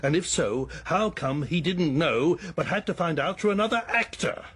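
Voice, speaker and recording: An actor playing an exasperated, sarcastic man in a radio comedy-drama, indignant and wordy, with a husky voice. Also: on the high side, expressive, normal speed, fairly bright tone. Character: exasperated, sarcastic male agent guarding his reputation.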